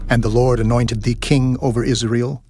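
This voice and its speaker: A man's voice: medium pitch, little pitch movement, music in the background, close to an everyday voice. An actor playing a stern male prophet who rebukes a king in a dramatized scripture reading. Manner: stern, reproving, measured.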